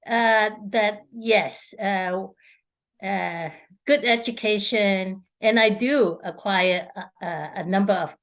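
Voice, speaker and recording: A middle-aged woman from Hong Kong, a feng shui consultant answering interview questions in a halting way, with many fillers and restarts. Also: medium pitch, fairly bright tone.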